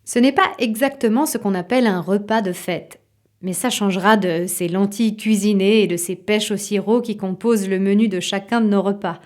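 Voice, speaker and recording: A woman's voice: medium pitch, moderate pace, darkish tone, lightly acted. A woman playing an impatient wife and mother, narrating a scripted audio drama in the second person. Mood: confiding.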